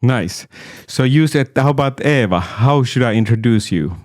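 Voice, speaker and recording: A man's voice: medium pitch, lively intonation, normal speed, a little mellow, no room sound, clean studio sound. A man from Finland hosting a talk show, asking questions in a casual, slightly hesitant, informal way. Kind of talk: casual interview chat.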